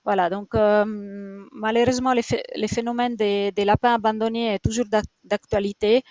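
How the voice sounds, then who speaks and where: Italian-accented woman, halting speech. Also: mid-range voice, steady tone, darkish tone, no room sound, dull audio. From Italy. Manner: hesitant, many fillers and restarts. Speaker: rabbit shelter founder, guest. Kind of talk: interview answers.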